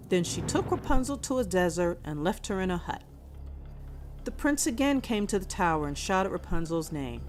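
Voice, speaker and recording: A woman reading a scripted children's fairy tale as a measured storyteller. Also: lowish voice, sing-song. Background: music.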